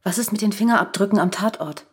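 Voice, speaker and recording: A woman's voice: medium pitch, quick pace, slightly rough, natural voice. A woman playing a suspicious, reserved wife in a radio play, asking pointed questions. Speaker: radio drama actor.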